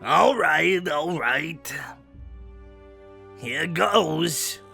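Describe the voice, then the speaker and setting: A man's voice: on the high side, lively intonation, drawn-out words, gravelly, pushed and bright, voice pushed up, heavily acted. A man voicing a nervous, loyal male henchman who talks in an anxious, hesitant way. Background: background music.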